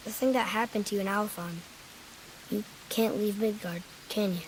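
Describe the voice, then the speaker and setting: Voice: mid-range voice, steady tone, moderate pace, a little hiss or hum, natural voice, low-quality recording. A young performer playing a curious, earnest boy in a cinematic game, with an inquisitive, slightly hesitant manner. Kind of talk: naturalistic cinematic game acting.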